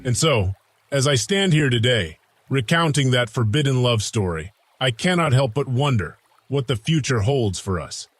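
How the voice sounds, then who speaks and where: A man voicing a brooding, lovestruck ancient god in a dramatic audiodrama monologue, speaking gravely and formally in measured phrases with weighty pauses. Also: mid-range voice, expressive, slight background noise, close to an everyday voice. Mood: solemn, wistful. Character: lovestruck, brooding Anunnaki god, solemn and defiant. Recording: music behind the voice.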